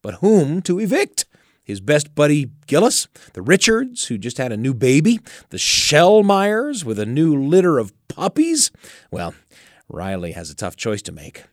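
A man's voice: on the high side, huge pitch swings, unhurried, no room sound, studio quality. A man hosting a radio show, narrating and recapping a story from a script with dramatic delivery.

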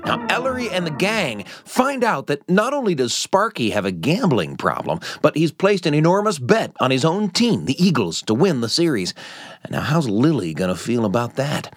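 A man's voice: fairly high pitch, huge pitch swings, normal speed, no room sound. An American man hosting with conversational storytelling narration, dramatic and emphatic. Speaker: host.

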